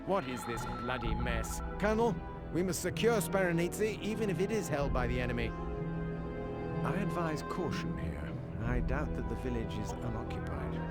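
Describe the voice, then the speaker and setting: A man playing a brisk, cautious military adviser giving mission briefings in a video game. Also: medium pitch, natural voice. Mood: urgent, instructive. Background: loud music.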